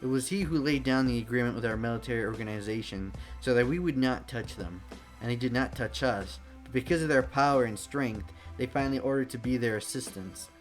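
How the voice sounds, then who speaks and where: A man's voice: medium pitch, a little fast, faint music behind, close to an everyday voice. A man voicing a grim male Italian resistance captain who gives orders and recounts his past. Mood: grave.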